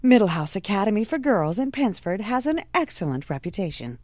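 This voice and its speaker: A woman playing a strict, snobbish mother, prim and commanding, with a shrill edge, in a period audio drama. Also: sing-song, fairly quick, a little mellow, no echo. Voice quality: shrill when scolding. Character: strict, snobbish society mother, scolding and domineering.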